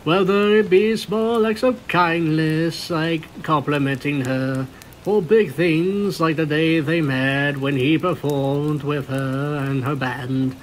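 A man's voice: a little high, takes it slowly, slight background noise, poor audio. A man narrating a scripted story as a fan fiction reader.

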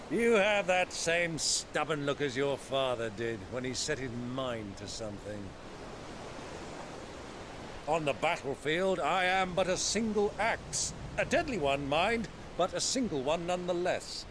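Slow, high-voiced, hearty uncle. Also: male voice, lively intonation, noisy background. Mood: earnest, teasing. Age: middle-aged. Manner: jovial, grandiloquent. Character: hearty, theatrical gray-bearded warrior uncle. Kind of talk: dramatic video game cutscene acting. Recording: clear sea and ship ambience behind.

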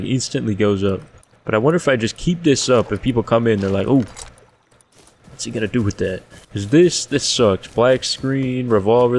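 A young man with a deep voice giving casual, joking commentary while playing a survival game, swearing often as he thinks aloud. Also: a little mellow, slight background noise.

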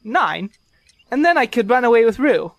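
A woman playing a bossy, self-assured male rabbit who gives brisk, officious instructions in a children's storybook audio drama. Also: on the high side, big pitch swings. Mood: scheming, bossy.

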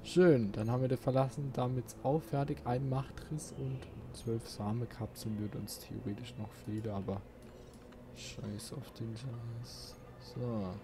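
A man hosts a casual let's play at an unhurried, slow pace, with an average-pitched voice. He mutters and grumbles at the game with some mild swearing. There is clear noise behind his voice. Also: steady tone. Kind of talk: casual video game commentary.

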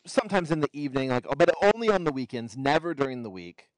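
A man's voice: medium pitch, sing-song, fast, no room sound, rough recording. A man in his forties co-hosting a podcast, joking and playfully teasing. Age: 40s. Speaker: podcast co-host. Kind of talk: casual chat.

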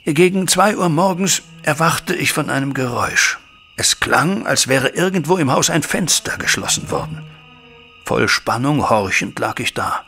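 A man's voice: mid-range voice, creaky. An actor playing an anguished middle-aged father in an audio drama, speaking in a formal, agitated and dramatic way. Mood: tense recounting. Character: distraught, anguished banker father recounting a family scandal. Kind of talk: German audio drama acting. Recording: studio audio drama with music underneath.

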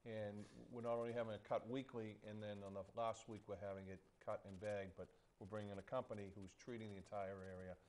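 A middle-aged man and event organizer chatting on a local talk show, enthusiastic, his phrases trailing off quietly. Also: fairly low pitch, little pitch movement, fast, hardly any pauses. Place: studio set against an outdoor backdrop. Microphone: lapel mic.

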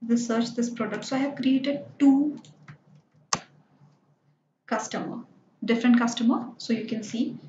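A woman narrating a screen-recorded software tutorial, explaining each step plainly with lots of "so" and "like". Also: on the high side, fairly even intonation, unhurried, pauses often, slight background noise. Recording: dull, roomy screencast voice-over.

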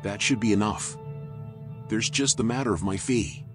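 An actor voicing a wry, world-weary raccoon private detective in a noir game, talking in a dry, terse way. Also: male voice, lowish voice, moderate pace, lightly acted. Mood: businesslike. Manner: dry, laconic, businesslike. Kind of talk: noir video game voice acting. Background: music.

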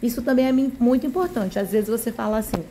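A woman's voice: on the high side, fast talker, warm tone, a little hiss or hum. A Brazilian woman giving a casual recipe voice-over in a chatty, homely way, often adding little tag questions to check agreement. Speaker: home cooking video host.

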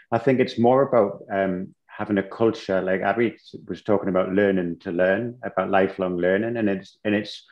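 Low-voiced man on a dull video call. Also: darkish tone. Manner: thoughtful, halting with frequent restarts. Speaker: panellist teacher. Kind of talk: online panel discussion. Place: home room with plants. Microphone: headset on video call.

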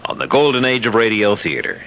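A man's voice: medium pitch, steady tone, a little fast, fairly bright tone, lo-fi sound. A man hosting a radio program with scripted announcing.

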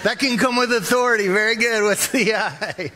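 A man's voice: high-pitched, normal speed, crowd noise. An American man in his forties speaking as a pastor, upbeat and casual, chatting informally with his congregation from a church stage. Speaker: pastor leading a church service. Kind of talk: informal interactive sermon. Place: church auditorium stage, indoors.

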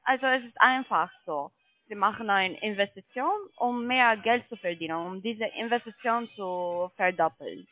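A woman who is not a native speaker, giving a halting telephone sales pitch as a call centre agent, often checking with questions. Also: soft background music. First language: not German. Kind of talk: persuasive phone sales pitch. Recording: tinny narrow phone line.

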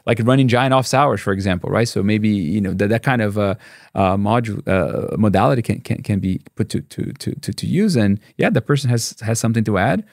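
A man in his forties, a native Portuguese speaker with a Brazilian accent, talking fast as a guest in an interview, restarting and repeating words. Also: lowish voice, creaky, darkish tone, no room sound, studio quality. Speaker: statistics professor, guest. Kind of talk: casual interview conversation. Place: dark podcast studio. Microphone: studio mic on boom arm, headphones.

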